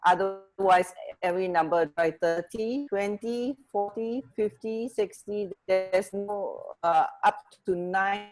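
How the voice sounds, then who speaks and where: A woman co-hosting a radio show in casual chat, halting and correcting herself as she goes. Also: medium pitch, a little mellow, faint music behind, low-quality recording. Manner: hesitant, halting, self-correcting. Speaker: radio co-host.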